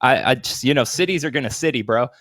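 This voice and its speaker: A man's voice: mid-range voice, animated, fast, fairly bright tone, no room sound, studio quality. An American man from Texas chatting casually as a podcast co-host, in loose slang with free swearing. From Austin, Texas, United States. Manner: slangy, laid-back wording, swears freely.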